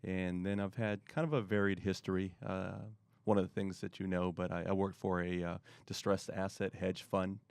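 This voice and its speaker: A middle-aged man answering interview questions in a relaxed, rambling way, often pausing with fillers. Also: low-pitched, smooth, no room sound. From born in Korea, raised in the US. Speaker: guest, marketing expert.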